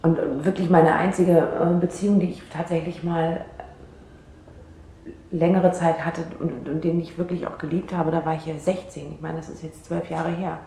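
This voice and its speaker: Self-doubting young client, creaky mid-pitched voice, rambling, hesitant, radio play, roomy echo. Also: female voice, level tone, moderate pace, warm tone. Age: 20s. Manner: hesitant, rambling, filler-laden. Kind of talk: naturalistic, improvised-sounding radio drama. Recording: roomy echo, faint background noise.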